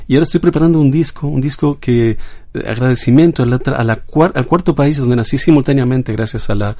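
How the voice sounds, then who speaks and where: A man's voice: quick pace, close to an everyday voice. A man voicing a scatterbrained, boastful bolero singer in a comedy sketch, talking in an absurd rambling way. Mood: chatty. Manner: rambling, absurd, bright higher voice. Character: scatterbrained, boastful male bolero crooner, middle-aged.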